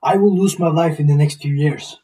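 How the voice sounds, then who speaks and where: A man's voice: on the high side, fairly quick, mellow, recorded from a distance. A man of about twenty talking to camera in a rambling, reflective casual monologue, repeating himself and swearing now and then. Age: 20s. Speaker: vlogger. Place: small plain indoor room.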